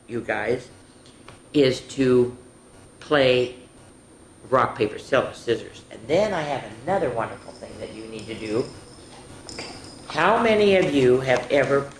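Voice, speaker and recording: A senior woman hosting a children's story time, chatty and instructive, often putting questions to the kids. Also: very deep voice. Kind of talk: children's storytelling and activity talk. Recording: echoey room with faint noise.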